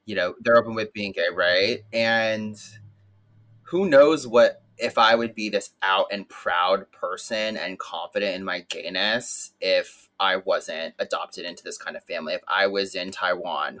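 A man co-hosts a podcast with a lively, campy delivery. He laughs easily and throws in playful asides. His voice sits a little low. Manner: expressive, campy, quick to laugh. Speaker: podcast co-host. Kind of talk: casual chat.

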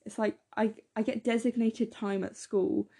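A teenage girl, a bit hoarse, chatting enthusiastically as podcast co-host with lots of fillers. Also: fairly high pitch, fairly even intonation, normal speed, warm tone. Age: teens. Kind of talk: casual podcast chat.

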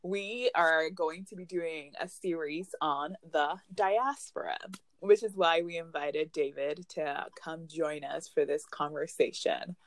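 A young woman from Ghana hosting a casual podcast discussion in a relaxed, chatty way. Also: low voice, a little slow, dry recording. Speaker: podcast host.

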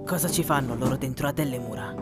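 A man playing a courteous bird-folk hunter in a fantasy audio drama, speaking in a measured, respectful way. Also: medium pitch, creaky, lightly acted. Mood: calm, respectful. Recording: loud music behind.

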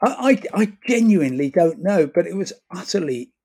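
Wry London travel reporter, mellow tone. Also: male voice, sits high, very animated, moderate pace. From London, England. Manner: wry, self-deprecating, hesitant with ums.

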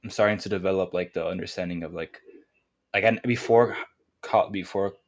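A man's voice: deep voice, fairly quick. An eighteen-year-old college student, a man, chatting hesitantly as a podcast guest with many fillers. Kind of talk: casual podcast chat.

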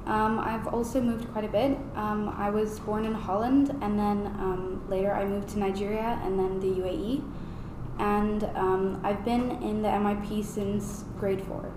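A woman's voice: mid-range voice, level tone, measured pace. A teenage girl from India giving thoughtful, hesitant interview answers. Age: teens. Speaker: student guest. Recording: echoey room with noise.

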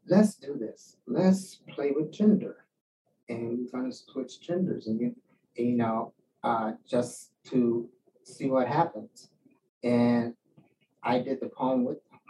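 A man speaking casually and reflectively as a poet and teacher answering questions, acting out bits of remembered speech as he tells stories. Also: medium pitch. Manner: animated storyteller, acts out quoted remarks. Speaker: poet and writing teacher giving a talk. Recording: distant mic with faint background noise.

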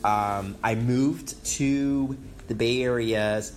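Slow, hesitant man with a Taiwanese accent. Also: mid-range voice. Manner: hesitant, many ums, self-conscious. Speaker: podcast host. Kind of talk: casual solo podcast talk. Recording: faint background noise.

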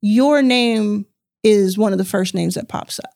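A woman's voice: medium pitch, measured pace, gravelly, darkish tone, dry recording, studio quality. A woman from the southern United States with an American accent, interviewing someone in a casual, conversational way.

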